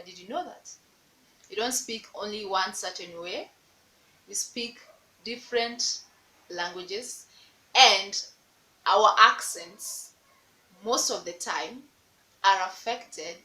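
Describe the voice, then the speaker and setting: Woman, Kenyan Luo accent, young adult, vlogger, bright tone, roomy echo, playful rhetorical asides. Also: mid-range voice, slight background noise. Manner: playful, repetitive rhetorical calls to the viewer. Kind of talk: casual direct-to-camera vlog. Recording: echoey room.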